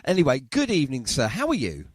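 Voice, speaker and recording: A man's voice: medium pitch, wildly swooping pitch, quick pace, husky, no room sound, clean studio sound. A man hosting a radio show in a jokey, rambling, self-deprecating chatty style. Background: music.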